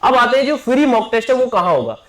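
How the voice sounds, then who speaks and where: A man's voice: very high voice, big pitch swings, fast, fairly bright tone. A man speaks as an exam coaching teacher addressing his students. He repeats key points and keeps checking in with his listeners. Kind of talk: promotional class announcement.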